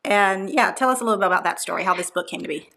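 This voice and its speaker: A woman's voice: mid-range voice, rapid-fire, gravelly, pushed and bright. A woman hosting an interview over a video call, encouraging her guest with frequent agreement. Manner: encouraging, frequent "yeah" backchannels. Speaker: host. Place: room at home. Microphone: podcast microphone on a video call.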